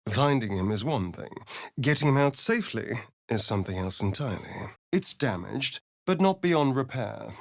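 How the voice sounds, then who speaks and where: Casting: a suave, sardonic male vampire rogue for a fantasy game. Arch and dramatic, very lively pitch, dry close sound. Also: normal speed, gravelly, no room sound. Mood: measured, brooding. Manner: theatrical, arch, dramatic delivery. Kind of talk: fantasy video game voice acting.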